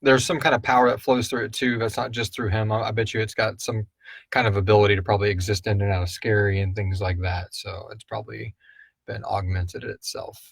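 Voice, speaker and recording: A man's voice: lowish voice, flat delivery, a little fast, vocal fry, slightly rough, no room sound. A man hosting a casual live stream, chatting informally and chummily with viewers in a rambling way. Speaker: podcast host answering listener questions.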